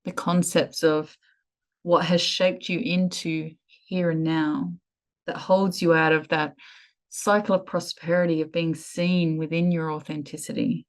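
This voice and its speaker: A woman leading a guided meditation in a soothing, measured way with lingering pauses. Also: sits low.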